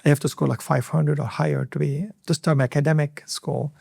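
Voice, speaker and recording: A man in his fifties from Lebanon, an Arabic speaker, recounting his life in an earnest, reflective interview with many filler pauses. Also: fairly high pitch, fairly even intonation, vocal fry, a little mellow, slightly rough, no echo, studio quality. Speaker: hospital CEO, podcast guest. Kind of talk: interview storytelling.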